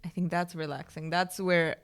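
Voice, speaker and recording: A woman hosting a casual podcast, friendly and quick to agree with her guest. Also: fairly low pitch, level tone. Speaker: podcast host.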